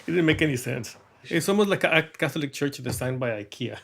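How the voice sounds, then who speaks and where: A middle-aged man from Mexico speaking as an interviewed artist, telling reflective stories in a halting, thoughtful way with wry humour. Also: on the high side, animated, creaky, fairly bright tone. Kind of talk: reflective conversational storytelling.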